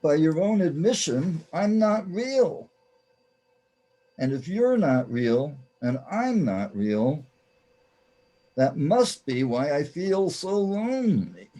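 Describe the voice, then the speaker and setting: Man playing troubled, lonely hero, pitched up. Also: big pitch swings, slow pace, warm tone, exaggerated voice. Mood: troubled, plaintive. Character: troubled, lonely male hero, plaintive and questioning. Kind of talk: amateur radio play acting.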